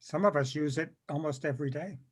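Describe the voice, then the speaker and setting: A man with a somewhat high voice leads an informal group video call. He talks in a conversational way as moderator. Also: fast, breathy edge. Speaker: meeting moderator. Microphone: video call microphone.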